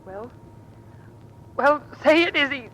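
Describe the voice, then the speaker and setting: A woman's voice: high-pitched, animated, slow pace, fairly bright tone, heavily acted. An actress playing a distraught, brave young woman in an old-time radio drama. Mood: grief-stricken. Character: distraught, brave young sister of an injured airman. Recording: faintly noisy old radio recording.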